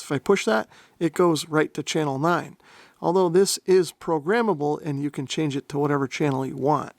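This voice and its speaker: Guy doing a gear demo, kinda high voice with some fry, really dry close sound, explains step by step. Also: no echo. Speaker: host of a radio gear review channel. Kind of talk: product demonstration narration.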